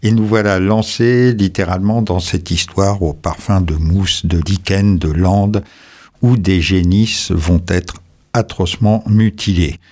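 A man with a very low voice hosts an interview. He asks his questions in a measured, steady way. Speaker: interviewer host. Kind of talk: radio interview.

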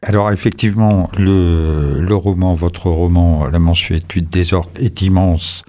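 A man hosting an interview, asking measured questions about a novel. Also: low-pitched, fairly even intonation, dark tone. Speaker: interviewer host. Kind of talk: radio interview.

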